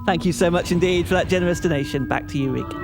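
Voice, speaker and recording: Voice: mid-range voice, fast, creaky, music in the background. A marathon host cheerfully reading a donation and a joke. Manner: upbeat, playful.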